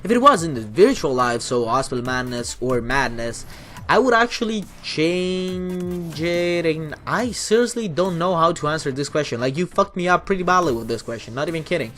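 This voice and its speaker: A man's voice: fairly high pitch, animated, normal speed, fairly bright tone, soft background music. Young Italian man, swearing, rambling. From Italy. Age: young adult. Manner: rambling, profane, frequent restarts. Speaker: YouTuber and streamer. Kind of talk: casual Q&A vlog.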